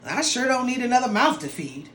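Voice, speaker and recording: A woman's voice: fairly high pitch, very animated, a little fast, fairly bright tone, pitched above the performer's own voice. A woman voicing an evil, scornful foster mother from a storybook, bossy and colloquial, in an echoey room. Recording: roomy echo with some background noise.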